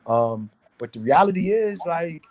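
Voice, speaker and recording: Halting man. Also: a little high, big pitch swings, a little mellow, a little hiss or hum. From New York City, United States. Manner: halting, repeats words, searching for phrasing. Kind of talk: casual discussion, opinionated talk.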